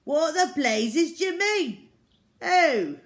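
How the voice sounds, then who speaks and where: Woman as gruff-tempered pub landlord, storybook character, barking, shouting, raised pitch, furious delivery. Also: lively intonation, slow pace. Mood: outraged. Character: ruddy-faced male pub landlord, loud, angry, barking.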